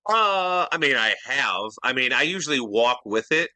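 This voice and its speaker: A man's voice: mid-range voice, sing-song, normal speed, pushed and bright, no room sound. A man co-hosting a podcast in casual chat, easygoing and rambling, often posing questions. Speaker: podcast co-host.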